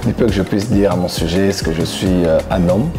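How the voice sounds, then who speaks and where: Low-voiced man, music behind. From Democratic Republic of the Congo. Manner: smiling, gesturing with both hands, thoughtful pauses with many "euh". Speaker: preacher interviewee. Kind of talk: relaxed interview answers to camera. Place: plain grey studio backdrop, indoors. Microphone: lapel mic. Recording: background music under the voice.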